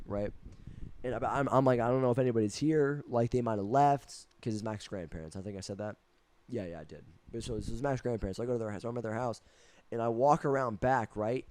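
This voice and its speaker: A young man with a lowish voice, stuffy from being sick, tells a story on a casual podcast. He rambles, swears freely and restarts his sentences often. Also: mellow. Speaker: podcast co-host.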